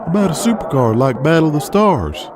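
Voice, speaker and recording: A man playing an eager, loyal wrestling booker in an audio drama, pitching things in an informal, persistent way. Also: sing-song, fairly quick, noisy background.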